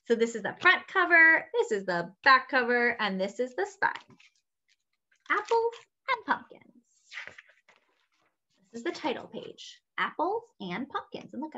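A young woman reading a picture book aloud to children with animated, expressive delivery. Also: sits high. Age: young adult. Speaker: children's story-time reader. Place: small room indoors at home. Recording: slightly dull webcam audio.

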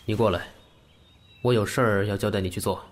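A man's voice: lowish voice, steady tone, fairly quick, a little hiss or hum, close to an everyday voice. A man acting a guarded, stoic young gang lieutenant in an audio drama, terse and reserved. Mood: curt.

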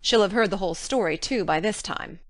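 A woman voicing a stern, practical older female guardian with a brisk, no-nonsense manner in an audiobook. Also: mid-range voice, no room sound, natural voice. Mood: resigned pondering. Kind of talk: audiobook character dialogue.

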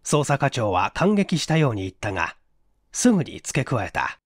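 A man's voice: medium pitch, sing-song, normal speed, no room sound, studio quality. A man reading scripted narration for a mystery audiobook.

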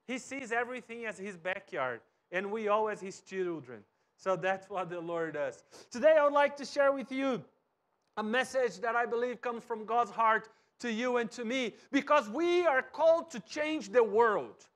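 A man in his thirties from Brazil, a pastor with a Brazilian Portuguese accent, preaching a sermon from a church stage and swinging from soft to loud. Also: very high-pitched, normal speed. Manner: animated, wide gestures, swings from soft to loud. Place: church stage with cross backdrop and bookshelves.

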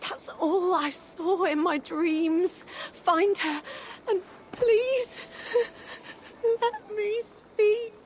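A woman's voice: very high voice, extremely slow, slight background noise, heavily acted. An actress playing an exhausted, fearful village woman in a video game, with a pleading, tremulous delivery. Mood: tearful pleading. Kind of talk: dramatic video game cutscene acting.

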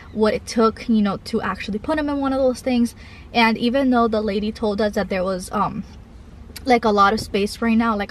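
A woman's voice: medium pitch, a little hiss or hum. A young woman vlogging as a mom, talking casually and rambling, filling her sentences with "like" and "I feel like". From Cuban family. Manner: rambling, heavy use of "like" and "I feel like". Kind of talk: casual confessional vlog. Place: parked car, then outdoor park. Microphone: camera on dashboard or held close.

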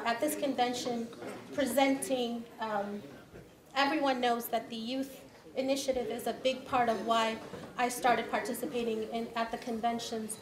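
A middle-aged woman giving an award speech at a podium microphone, reading from notes and switching between languages. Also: fairly high pitch. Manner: reads from notes, switches between English and Assyrian. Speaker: award presenter. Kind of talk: ceremony speech. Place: large banquet hall. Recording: echoey banquet hall with crowd.

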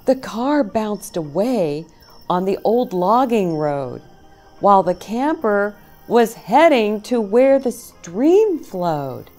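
Slow-paced woman reading a storybook. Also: mid-range voice, very animated, warm tone, faint music behind. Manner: deliberate dramatic phrasing with pauses. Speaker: children's storytime host reading aloud. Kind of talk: expressive picture-book read-aloud for young children.

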